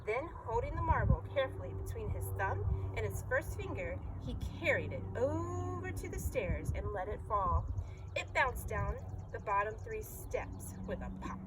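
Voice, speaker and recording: A woman narrates a children's storybook in a fairly high voice. Her intonation moves up and down a great deal. There is clear noise in the recording. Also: sing-song, moderate pace. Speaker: reader reading a children's book aloud. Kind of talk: storybook read-aloud narration. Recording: clearly noisy background.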